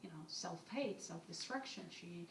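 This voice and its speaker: Low-voiced woman, second-language English. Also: lively intonation, creaky, far from the mic, a little hiss or hum. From Palestine. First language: Arabic. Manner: halting, reflective, many restarts. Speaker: author on a panel. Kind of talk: panel discussion. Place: event hall with audience. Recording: roomy hall miked from afar.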